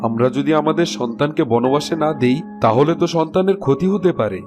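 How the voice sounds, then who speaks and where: A man's voice: on the high side, expressive, quick pace, lightly acted. Earnest, anxious king in a cartoon, acted by a man. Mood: worried, tender. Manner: earnest, pleading. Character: anxious, pleading fairy-tale king, fond husband and father. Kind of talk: fairy-tale cartoon acting. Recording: music behind the voice. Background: music.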